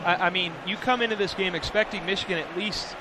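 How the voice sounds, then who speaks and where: An American man provides color commentary for a football radio broadcast. His voice is somewhat high, and he explains stats and plays in a conversational, analytical way. Clear stadium noise runs behind him. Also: fast talker. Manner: stat-minded, conversational explaining. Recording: noisy stadium broadcast background.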